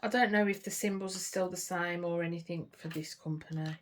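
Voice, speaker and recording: A woman's voice: fairly low pitch, steady tone, brisk, a little hiss or hum. A woman chatting casually to camera while she works on a craft kit, murmuring and trailing off, a little sniffly. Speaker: craft vlogger.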